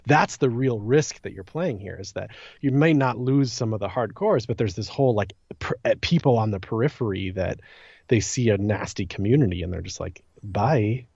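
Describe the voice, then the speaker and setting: A man talking informally on a podcast about a game, halting as he thinks out loud. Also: on the low side, creaky, husky, no room sound, muffled recording. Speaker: podcast guest and co-discussant.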